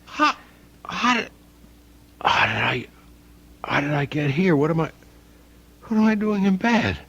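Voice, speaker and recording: An actor playing a frightened, desperate, defensive fiancé in an old-time radio drama, stammering and overwrought. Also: male voice, fairly high pitch, big pitch swings, unhurried, fairly bright tone, slightly rough, lo-fi sound, heavily acted. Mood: confused, stammering.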